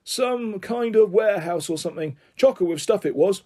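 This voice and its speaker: Storybook grandfather played by a man: old, eccentric, forgetful, high swooping delivery. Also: animated, normal speed, warm tone. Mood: muddled, wistful. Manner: rambling, forgetful. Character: muddled, rambling old grandfather with eccentric notions.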